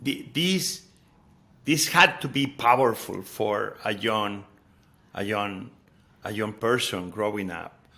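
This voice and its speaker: Spanish-accented man, bright and halting. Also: lowish voice, creaky. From Spain. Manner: halting, repeats words while searching for phrasing. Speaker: podcast host. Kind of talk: conversational interview.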